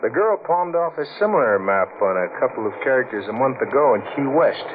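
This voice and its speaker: An actor playing a cool, sardonic Coast Guard lieutenant in a 1940s radio thriller, with a dry, unhurried delivery. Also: male voice, mid-range voice, animated, close to an everyday voice. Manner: dry, unhurried, hesitant pauses. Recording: tinny, hissy 1940s radio recording.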